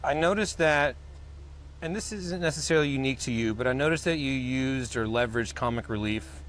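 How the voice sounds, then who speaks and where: A man hosting a podcast, interviewing a guest in a relaxed, conversational way. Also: mid-range voice, fairly quick, slight background noise. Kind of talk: casual interview.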